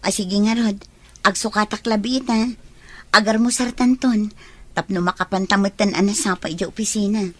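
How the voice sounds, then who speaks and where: A woman with a Filipino accent playing a kind, hospitable young working woman in an Ilocano audio drama, gentle and conversational. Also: medium pitch, steady tone, moderate pace, lightly acted. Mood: friendly.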